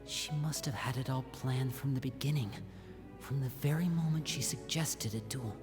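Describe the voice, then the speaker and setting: A man's voice: medium pitch, normal speed, close to an everyday voice. A man playing an earnest young son. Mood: doubtful. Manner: earnest, respectful. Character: earnest young male performer, loyal eldest son. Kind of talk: video game voice acting. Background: game score music.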